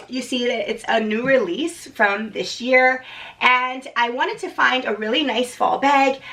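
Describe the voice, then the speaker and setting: A woman in her thirties, hoarse from a cold, chatting casually through an unboxing vlog with frequent "um"s. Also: on the high side, sharp tone, far from the mic. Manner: chatty, many restarts and "um"s. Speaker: vlogger.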